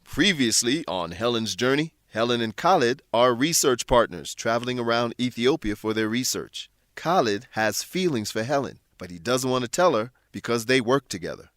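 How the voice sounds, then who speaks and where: Male narrator, mid-pitched, close and dry. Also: a bit bright, no echo. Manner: clear, simple, addresses listeners directly. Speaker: narrator and host. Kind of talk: scripted narration for English learners.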